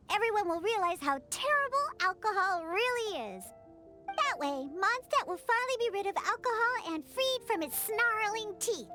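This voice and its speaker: Very high-pitched, pouty little cat-girl. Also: sharp tone, full character voice. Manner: pouty, emphatic, indignant. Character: feisty, proud little cat-girl bartender who hates alcohol. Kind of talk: animated video game character acting.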